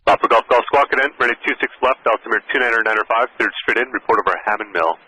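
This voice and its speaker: Male tower controller, bright crisp edge, talks super quick, bit of radio hiss. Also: mid-range voice, rough recording. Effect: aviation radio transmission. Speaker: air traffic controller. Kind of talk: clipped radio instructions. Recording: radio transmission with faint static noise.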